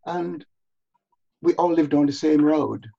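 A man's voice: fairly high pitch, takes it slowly, creaky. An older man from Barbados, reminiscing as an interview guest, repeating phrases for emphasis, on a muffled recording. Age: older, over 60. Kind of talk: reminiscing in conversation.

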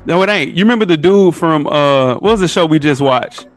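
A man's voice: a little high, animated, fairly quick, faint music behind. A man chatting casually on a podcast, opinionated and emphatic, using slang and repeating his points for stress. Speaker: podcast guest.

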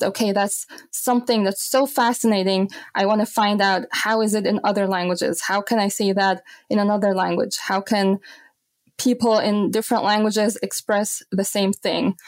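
A woman's voice: medium pitch, dry recording. A young woman talks as an interview guest. She is thoughtful and reflective and drops in "you know" as she goes. Her voice sits in a middle register and sounds dry and close to the mic. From Austria. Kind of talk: conversational interview.

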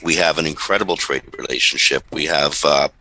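A Canadian man commenting earnestly and deliberately during an interview. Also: extremely low pitch, bright, forceful tone, no echo, rough recording.

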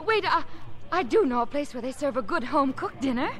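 A woman's voice: high voice, lively intonation, fairly quick, fairly bright tone. An actress playing a shy, smitten young lady in an old radio play, stammering and hesitant. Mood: eager, nervous. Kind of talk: 1950s radio acting. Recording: vintage 1950 radio broadcast recording.

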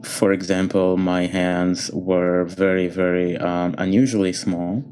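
Deep-voiced Egyptian-born man, thoughtful. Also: flat delivery, unhurried, darkish tone, smooth. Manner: thoughtful, with many fillers and restarts. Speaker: guest, concert pianist. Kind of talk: interview answers.

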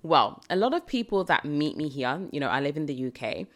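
A woman's voice: low-pitched, sing-song, fairly quick. A woman in her twenties, raised in Britain after a childhood in Jamaica, hosting a solo podcast Q&A in a chatty, reflective way with rambling asides. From Jamaica, raised in the UK from age seven. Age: 20s. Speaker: podcast host. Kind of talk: solo podcast Q&A, casual chat.

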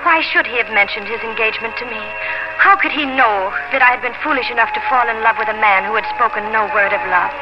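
A woman's voice: on the high side, quick pace, highs cut off, lightly acted. An actress playing a sincere, wistful young colonial woman, narrating and acting in a 1950s radio drama with composed literary phrasing. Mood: heartbroken. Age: young adult. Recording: band-limited 1950s radio, loud music.

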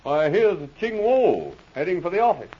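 An actor playing an easygoing, obliging frontier police marshal in a radio drama. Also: male voice, high-pitched, big pitch swings, normal speed, husky, exaggerated voice. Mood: folksy, alert. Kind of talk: 1940s radio drama acting. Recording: dull old radio recording.

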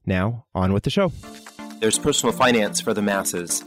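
A man's voice: on the low side, normal speed, a bit bright, soft background music. A male podcast host delivering a scripted intro and then asking questions with emphatic energy.

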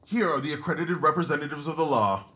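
A man's voice: a little high, expressive, fast talker, sharp tone, a little hiss or hum. A man playing a confident, analytical Victorian detective in an audio drama, assured, precise and didactic in his talk. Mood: keen, instructive.

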